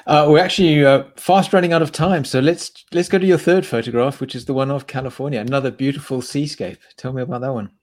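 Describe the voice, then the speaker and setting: A man's voice: mid-range voice, fairly even intonation, fast talker, hardly any pauses, darkish tone. A man hosting an interview, politely guiding his guest to the next question. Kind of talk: interview host prompts.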